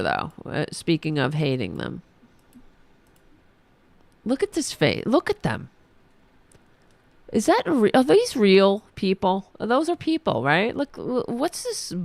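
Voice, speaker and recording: A woman's voice: mid-range voice, vocal fry, dry recording. A woman, hosting a political podcast, ranting in a heated, profane, sarcastic way while losing her voice. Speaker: podcast host. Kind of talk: angry political rant.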